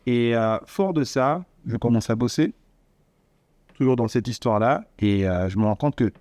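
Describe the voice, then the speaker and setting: A man's voice: mid-range voice, moderate pace, darkish tone, dry recording. A man from Cameroon who moved to France as a teenager, telling his story conversationally as a guest and checking in with his listener. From Cameroon, moved to France at thirteen. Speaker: podcast guest. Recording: music behind. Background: music.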